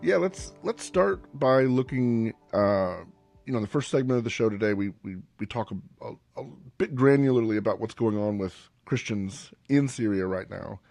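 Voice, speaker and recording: A man's voice: lowish voice, moderate pace, gravelly, darkish tone, no room sound, faint music behind. A man hosting a podcast, asking long questions while searching for words, with many restarts. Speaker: podcast host. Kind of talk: interview questions.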